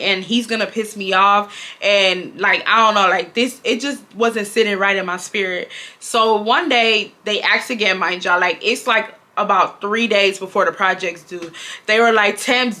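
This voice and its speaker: A woman, telling a casual storytime in an animated, dramatic, slangy way. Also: medium pitch. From Houston, Texas. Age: 20s. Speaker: vlogger.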